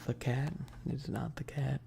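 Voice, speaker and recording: A livestream host chatting casually and informally in a soft, close whispery ASMR delivery into a binaural mic, rambling with teasing asides to chat. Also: mid-range voice, steady tone, normal speed, gravelly. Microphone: close binaural microphone. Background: tapping and keyboard clicking.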